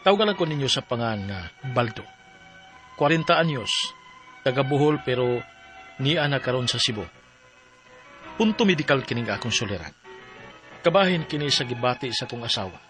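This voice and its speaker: An actor with a Cebuano Filipino accent playing a troubled, devoted forty-year-old farmer in a radio drama. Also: male voice, medium pitch, animated, moderate pace, pauses often, fairly bright tone, lightly acted. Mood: earnest narrating. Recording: faint music bed.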